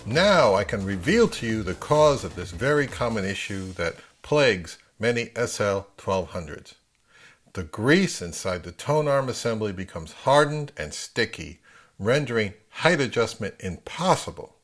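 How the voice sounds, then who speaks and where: A man narrating a casual hands-on how-to, unhurried and thinking aloud as he works. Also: on the low side, big pitch swings. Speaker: repair tutorial presenter.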